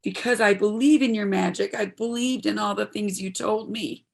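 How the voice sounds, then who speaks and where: An actress playing a troubled, searching young adult daughter in an earnest stage drama. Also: female voice, mid-range voice, fairly even intonation, normal speed, vocal fry. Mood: troubled, plaintive.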